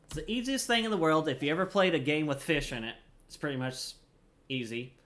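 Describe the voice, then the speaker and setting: A man's voice: a little high, brisk, fairly bright tone. A man giving casual gameplay commentary, explaining things informally and thinking aloud as he plays. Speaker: gamer, streamer explaining gameplay.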